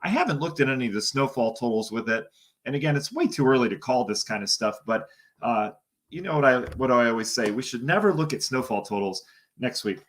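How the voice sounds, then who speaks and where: A hoarse man giving a casual live-streamed weather briefing, thinking aloud as he talks through forecast maps. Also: mid-range voice, animated, fairly quick, a bit bright. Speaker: TV meteorologist.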